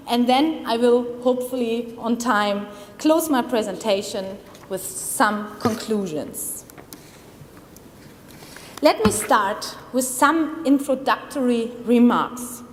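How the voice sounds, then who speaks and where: High-voiced German woman lecturing in a hall. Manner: formal, measured academic delivery. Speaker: conference speaker, criminology researcher. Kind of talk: prepared conference lecture. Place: large conference hall. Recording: long hall reverb.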